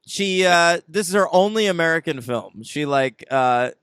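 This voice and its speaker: A man's voice: fairly high pitch, lively intonation, normal speed, a bit bright, smooth, no room sound, studio quality. A man co-hosting a film podcast, chatting casually and joking as he reviews movies. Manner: joking, chatty, laughs often. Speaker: podcast co-host.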